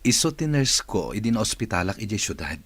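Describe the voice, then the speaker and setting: A man's voice: on the low side, big pitch swings, moderate pace, a bit bright, husky, no echo, heavily acted. An actor playing a subdued male boss recovering from illness in a radio drama.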